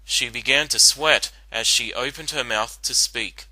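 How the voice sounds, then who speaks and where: A man's voice: medium pitch, a little slow, pushed and bright, dry recording, rough recording. A man giving a scripted dramatic reading as the narrator of a story.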